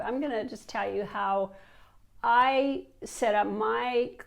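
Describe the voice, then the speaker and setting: A woman's voice: medium pitch, creaky, darkish tone. A woman in her fifties with a cold, giving a chatty teacher workshop on a lapel mic with fillers and restarts. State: has a cold. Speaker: language teacher. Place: indoors, seated in an office chair before a plain wall.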